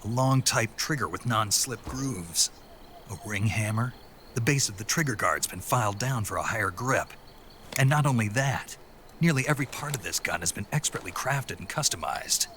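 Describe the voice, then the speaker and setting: A man playing a gruff, wary soldier in a video game cutscene, speaking tersely and seriously with a gravelly voice. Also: fairly low pitch, lively intonation, moderate pace, a bit bright, a little hiss or hum. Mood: impressed, absorbed. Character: gruff, wary male soldier and gun expert. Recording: night insect ambience behind the voice.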